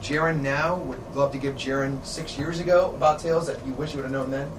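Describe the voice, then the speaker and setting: A man's voice: medium pitch, fairly even intonation, fairly quick. A middle-aged man hosting a casual interview in a hotel room, upbeat and laughing often. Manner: enthusiastic, laughs often, calls people dude. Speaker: interviewer, show host. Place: hotel room with curtains, indoors. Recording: roomy, distant, noisy camera sound.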